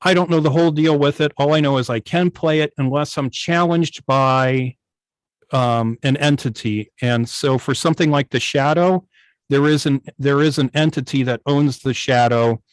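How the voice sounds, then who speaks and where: A middle-aged man explaining things casually on a video call, often pausing to search for his words. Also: mid-range voice, smooth, dry recording. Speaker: guest, old-time radio station operator. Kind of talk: casual explanatory talk on a club video meeting.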